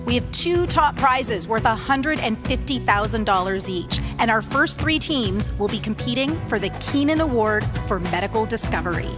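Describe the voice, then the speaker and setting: Woman host announcing over music. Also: a little high. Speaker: event host. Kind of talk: scripted event hosting.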